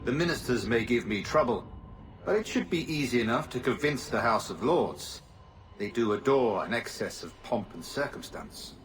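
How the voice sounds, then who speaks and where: A man's voice: mid-range voice, expressive, moderate pace, a little hiss or hum, close to an everyday voice. A man playing a cautious genteel governor.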